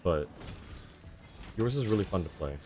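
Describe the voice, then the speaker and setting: Low-voiced, animated male game streamer. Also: moderate pace. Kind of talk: casual live gameplay commentary. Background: game music.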